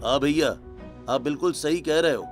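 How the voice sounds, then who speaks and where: A man's voice: on the high side, moderate pace, soft background music, natural voice. A man voicing a devout, agreeable middle-aged younger brother in a cartoon story, deferential and grateful.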